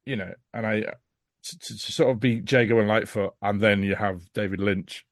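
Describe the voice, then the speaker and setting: A man's voice: on the low side, steady tone, normal speed, no echo, studio quality. A man giving a casual podcast review as a guest, speaking in a rambling, emphatic, frank way.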